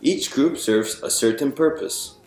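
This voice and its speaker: A man with a mid-pitched voice presents a picture book aloud in a reverberant room. He explains things step by step and addresses his listeners directly, as at a storytime. Music is heard along with his reading. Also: unhurried, darkish tone. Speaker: author reading own picture book to an audience. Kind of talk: storytime read-aloud to an audience.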